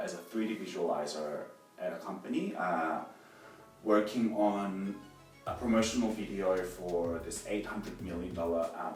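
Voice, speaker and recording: Young guy from korea rambling to the camera, low-ish voice, pretty echoey and off-mic. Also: distant mic. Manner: rambling, self-conscious, many fillers. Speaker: DIY vlogger. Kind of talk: casual chat to camera. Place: echoey room alone with a camera. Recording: echoey, off-mic, soft music.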